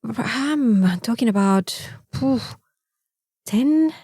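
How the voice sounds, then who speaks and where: A woman's voice: a little high, expressive, extremely slow, a little mellow, breathy edge, dry recording, clean studio sound. A woman with a Mexican accent, a native Spanish speaker, co-hosting a casual podcast in a candid, exasperated, emphatic way. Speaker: podcast co-host.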